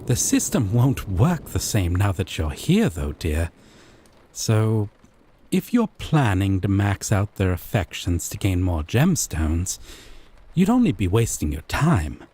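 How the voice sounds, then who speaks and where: A man playing a gentle, obsessive yandere dating-sim character, tender and possessive, in an intimate scripted audio roleplay with a lingering, coaxing delivery. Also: fairly low pitch, sing-song, husky, dry recording, full character voice. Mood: amused, teasing. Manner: slow, lingering, coaxing, softly teasing.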